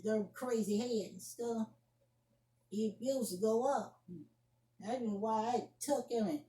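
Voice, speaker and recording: An indignant young woman argues her case in an amateur drama monologue, acted out by a woman. Her voice is fairly high yet soft-edged and mellow, in a room with clear echo. She scolds emphatically, repeating herself and restarting as she defends what she did. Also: natural voice. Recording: echoey room.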